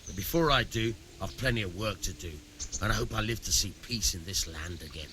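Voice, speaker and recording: An actor playing a plain-spoken, shady medieval village miller with a folksy, dry manner in a video game. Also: male voice, fairly low pitch, fairly quick, bright, forceful tone, slight background noise. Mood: resigned. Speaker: game character, miller who teaches thieving. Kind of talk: acted game dialogue.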